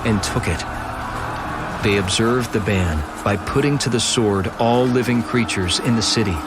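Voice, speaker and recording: A man's voice: fairly low pitch, moderate pace, over music. A man giving dramatic, solemn scripted scripture narration for an audio drama. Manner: solemn, portentous.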